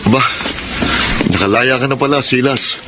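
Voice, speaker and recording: An actor playing a calm, forgiving young man who speaks earnestly about second chances in a radio drama. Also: medium pitch, normal speed, very noisy, lightly acted. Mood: gentle. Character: calm forgiving male protagonist, Angel.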